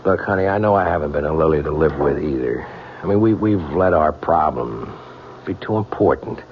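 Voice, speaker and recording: A man playing an earnest, conciliatory husband in an old-time radio drama, speaking a little haltingly. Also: a bass voice, animated. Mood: earnest, apologetic. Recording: muffled, hissy vintage radio recording.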